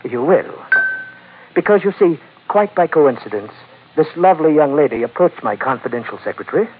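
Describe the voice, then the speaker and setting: An actor playing a sly, courteous Chinese merchant crime boss in an old-time radio drama, speaking formally with polite menace. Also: male voice, on the high side, lively intonation, faint music behind, lightly acted. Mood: smooth, businesslike. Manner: formal, elaborately polite, unhurried. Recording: noisy, tinny vintage radio recording.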